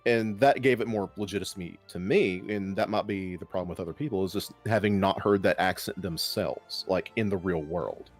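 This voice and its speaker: A man's voice: low-pitched, brisk, hardly any pauses, faint music behind. A man from Kentucky with a Kentucky accent, chatting casually and animatedly on a panel. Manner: animated, mocks internet opinions in quotes. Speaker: podcast panelist. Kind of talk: casual group chat.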